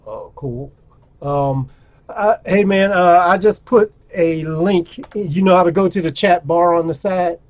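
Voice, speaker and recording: A man's voice: sits high, unhurried, darkish tone, highs cut off. A man hosting a casual video call chat, laid-back and encouraging, calling his guest "man" and "bro". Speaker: host.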